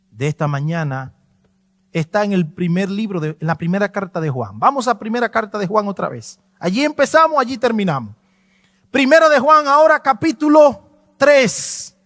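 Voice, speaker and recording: A man's voice: sits high, no echo, long reverb tail. A pastor preaching a fervent, emphatic sermon. He repeats himself for emphasis in a church sanctuary.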